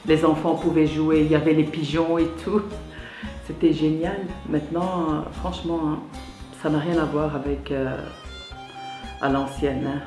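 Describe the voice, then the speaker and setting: A woman gives an interview. Her voice rings in an echoey room, with music clearly playing behind it. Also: low-pitched, steady tone, moderate pace. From Belgium. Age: 50s. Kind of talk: documentary interview.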